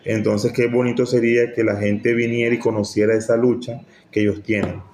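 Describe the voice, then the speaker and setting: A man's voice: mid-range voice, little pitch movement, brisk, darkish tone. A man giving an unscripted interview as a tourism official. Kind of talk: spontaneous interview talk. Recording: echoey room with faint noise.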